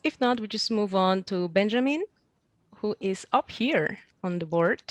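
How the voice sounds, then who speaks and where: A woman's voice: mid-range voice, animated, a little slow, dry recording. A woman hosting an online meetup, facilitating a group session in an encouraging, affirming way.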